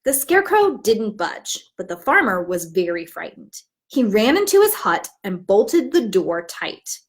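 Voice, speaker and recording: A woman teaching in an instructive, expressive way, as if demonstrating how to read a storybook aloud. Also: medium pitch, a little slow. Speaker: teacher trainer.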